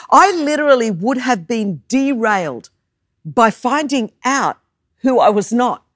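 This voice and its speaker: A woman in her thirties from Australia, whose first language is Greek, preaching a sermon and telling a personal story with animated, self-mocking humor. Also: a little high, no room sound, studio quality. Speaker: guest preacher.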